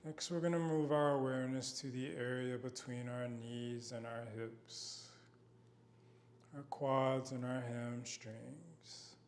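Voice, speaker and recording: A man guiding a body scan meditation in a gentle, unhurried manner with long pauses. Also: mid-range voice, steady tone. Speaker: meditation guide.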